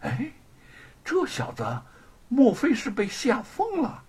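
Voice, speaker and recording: A man's voice: medium pitch, huge pitch swings, measured pace, creaky, a bit bright, husky, pitched below the performer's own voice, heavily acted. A man voicing a greedy, easily exasperated prison jailer in a told story, colloquial and prone to stammering. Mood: puzzled. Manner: colloquial, stammering when flustered, wheedling.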